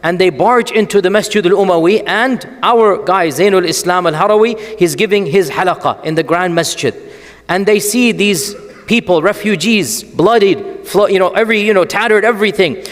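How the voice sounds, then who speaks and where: A man giving an animated, storytelling religious lecture and often asking the audience rhetorical questions. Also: sits high, moderate pace, big-room sound. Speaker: Islamic lecturer.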